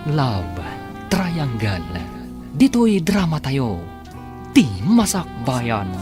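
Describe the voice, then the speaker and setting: Guy announcing a radio soap, ilocano accent, dramatic, big swings in pitch, music under him. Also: huge pitch swings, drawn-out words. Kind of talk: dramatic scripted radio narration.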